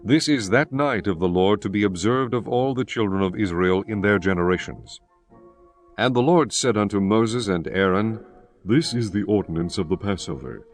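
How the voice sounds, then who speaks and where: A man narrating scripture from a script in a solemn, measured, formal way. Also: fairly low pitch, expressive. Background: music.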